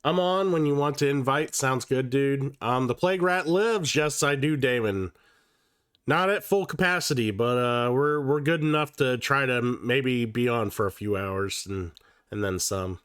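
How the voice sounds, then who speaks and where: A man's voice: medium pitch, normal speed, a bit bright, clear, resonant tone, no echo, clean studio sound. Sick, nasal-sounding man streaming casually. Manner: casual and profane, with many fillers and restarts. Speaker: livestream host. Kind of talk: casual livestream chat.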